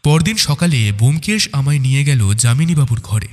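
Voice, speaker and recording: A man's voice: medium pitch, expressive, fairly quick, a little mellow. A man narrating a scripted first-person detective story. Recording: long reverb on the voice.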